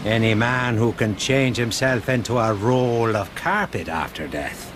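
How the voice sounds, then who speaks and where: An actor playing a genial, chatty Irish police inspector with a wry, jovial manner in a radio drama. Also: male voice, medium pitch, lively intonation, a little slow, natural voice. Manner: jovial, wry, lilting and talkative. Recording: outdoor ambience with clear background noise.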